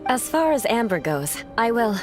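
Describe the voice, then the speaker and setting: A woman's voice: on the high side, very animated, moderate pace, music in the background. A woman playing a proud, aloof young noblewoman knight in a video game, formal, haughty and decisive. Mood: cool, firm.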